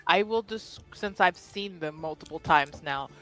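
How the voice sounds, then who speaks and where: A woman's voice: fairly low pitch, moderate pace, a bit bright, faint music behind. A woman chatting casually and informally as a player in a tabletop roleplaying game, with a giggly manner. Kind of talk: casual game chat with friends.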